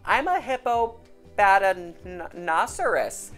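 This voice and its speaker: A woman's voice: medium pitch, sing-song, slow pace, fairly bright tone, faint music behind, pitched above the performer's own voice. Woman voicing an eager, determined young boy hippo. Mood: proud, determined. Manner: polite, inquisitive, stubbornly confident. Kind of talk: animated children's storybook reading.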